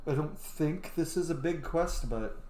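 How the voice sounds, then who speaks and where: A streamer talks casually through a game, thinking aloud in a rambling way. The voice is mid-pitched, recorded with a roomy echo and slight background noise. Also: measured pace, warm tone. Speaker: streamer playing a role-playing game. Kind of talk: casual live gameplay commentary.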